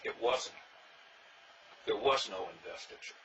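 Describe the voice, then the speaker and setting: An older man, interviewed about his early days in a reenactment society, telling stories with dry, plainspoken humor and a loud voice. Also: lowish voice, expressive, unhurried, far from the mic. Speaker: interviewee, former king of a historical reenactment society. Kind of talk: casual storytelling interview. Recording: dull sound, faint noise, from off mic.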